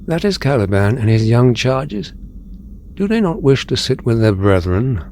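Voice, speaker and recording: A man acting a dignified older mutant leader in an audio drama, speaking formally and deliberately with calm authority. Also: mid-range voice, slight background noise. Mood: grave, composed.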